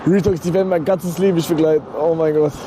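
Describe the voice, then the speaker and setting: A young man streaming live while riding a bike on city streets, talking in a slangy, excitable way and swearing a lot. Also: on the high side, fast talker. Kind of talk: live outdoor stream chat. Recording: outdoor street noise with traffic.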